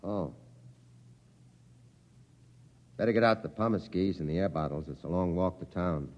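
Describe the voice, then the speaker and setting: An actor playing a gruff, capable spaceship pilot, terse and commanding, in 1950s radio drama style. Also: male voice, deep voice, quick pace, muffled recording, close to an everyday voice. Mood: subdued. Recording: noisy vintage radio recording.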